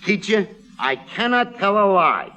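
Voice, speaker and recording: A man playing a surly, wisecracking American schoolboy with a gravelly voice in a radio comedy. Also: high-pitched, big pitch swings, measured pace, bright, forceful tone, exaggerated voice. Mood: deadpan. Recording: noisy old radio recording.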